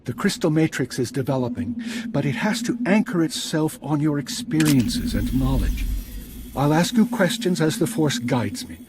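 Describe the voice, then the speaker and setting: A man as a solemn seer Jedi master over loud ambience. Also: fairly high pitch, expressive, moderate pace, natural voice. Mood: calm, guiding. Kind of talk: scripted video game dialogue. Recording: loud background ambience noise.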